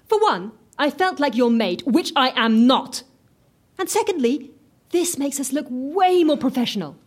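High-voiced, brisk office assistant. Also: female voice, expressive. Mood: brisk, coaxing. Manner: brisk, coaxing, matter-of-fact. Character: brisk, patient, no-nonsense female office assistant, gently exasperated. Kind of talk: audio drama comedy acting.

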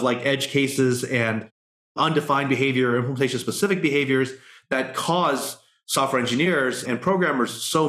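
A man's voice: medium pitch, fairly bright tone. Man, podcast host, musing, discursive, casual, echoey room. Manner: musing, discursive, filler-heavy. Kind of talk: casual conversational interview.

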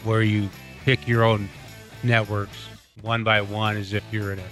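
A man's voice: fairly low pitch, little pitch movement, a little slow, a bit bright, faint music behind. A man co-hosting a sports radio talk show, asking questions and teasing the host in casual banter. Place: radio studio.

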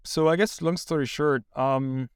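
Halting man with smooth, dry voice. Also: fairly high pitch, fairly even intonation, dry recording. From Chile. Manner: halting, many fillers and restarts. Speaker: AI company founder giving a demo. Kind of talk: casual interview.

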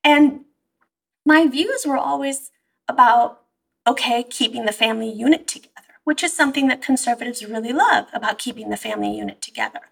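A woman, born in Iran and raised in the US, telling stories in an animated, conversational way as an interview guest. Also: on the high side, fairly quick, vocal fry, husky, far from the mic, rough recording. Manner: animated storytelling, quoting herself and her mother. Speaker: therapist guest.

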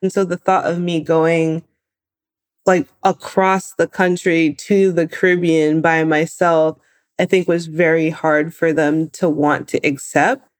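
A woman in her twenties from California, telling her own story in a lively, animated way. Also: deep voice, unhurried. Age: 20s. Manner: lively, animated retelling with laughs. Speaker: guest. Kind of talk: personal storytelling.

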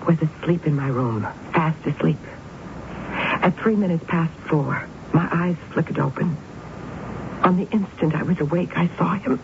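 An actress playing an intense, self-assured woman in her late twenties in a radio drama, speaking deliberately and solemnly with eerie certainty. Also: sits low. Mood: awed, intense. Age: late 20s. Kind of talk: 1970s radio drama acting. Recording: dull, noisy 1970s radio recording.

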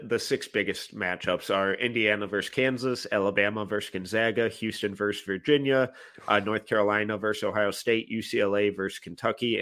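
A man hosting a sports podcast while fighting a cold, talking in a relaxed, halting way with stammers. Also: on the low side. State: has a cold, sniffly. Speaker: podcast host. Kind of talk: casual sports podcast chat.

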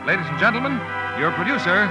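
A man's voice: sits high, big pitch swings, quick pace, rough recording. A man announcing the opening of a 1950s radio show, grand and proclaiming. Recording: vintage radio broadcast over loud music.

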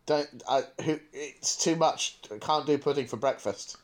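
A man's voice: medium pitch, measured pace. A man co-hosting a podcast in casual chat, informal and rephrasing as he goes. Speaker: podcast co-host.

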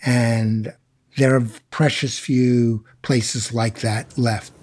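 A man's voice: mid-range voice, level tone, very slow, darkish tone. A man with an American accent talking reflectively as a scholar being interviewed. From United States. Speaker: scholar guest.

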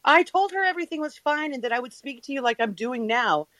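Woman playing a frazzled, apologetic lady guardian, affectionate, very animated, close dry sound, audio drama. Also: high-pitched, quick pace, dry recording. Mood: awkward, reproachful. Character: apologetic, flustered, affectionate guardian raising a teenager.

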